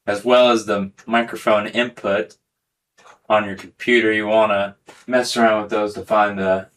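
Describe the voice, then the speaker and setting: A man with a slightly low voice talks casually as he hosts a hands-on demonstration. The recording carries some faint noise and small clicks behind his words. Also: a bit bright. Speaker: host of a tech demonstration video. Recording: clearly noisy recording with clicks.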